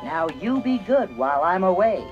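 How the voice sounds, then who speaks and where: A man voicing a fussy elderly grandmother in a storybook record, scolding loudly in a raised pitch, with synth music behind. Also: big pitch swings, normal speed, muffled recording. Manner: scolding, loud and high. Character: stern old grandmother, fussy and protective.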